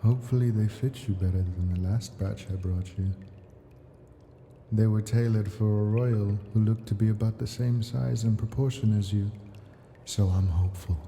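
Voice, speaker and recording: A man playing an ancient, possessive and doting dragon in a slow, intimate ASMR audio roleplay, speaking in an unhurried, lordly, soft way. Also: lowish voice, fairly even intonation, a little hiss or hum, natural voice. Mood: fond, weary. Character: ancient male dragon, possessive, doting, wounded hoarder of treasures.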